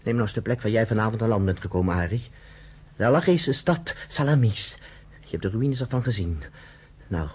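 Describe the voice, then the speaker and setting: A man's voice: medium pitch, a little mellow, husky. A man acting a fervent resistance leader. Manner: fervent, stubborn about his people's survival. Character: earnest male underground fighter David, middle-aged. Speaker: resistance leader guiding a visitor. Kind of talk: radio play acting. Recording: noisy radio play recording.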